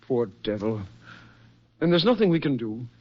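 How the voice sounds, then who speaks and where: A man's voice: mid-range voice, very animated, normal speed. A man acting a concerned, sympathetic hospital colleague, earnest and questioning, in a 1940s radio drama. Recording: muffled 1940s radio recording.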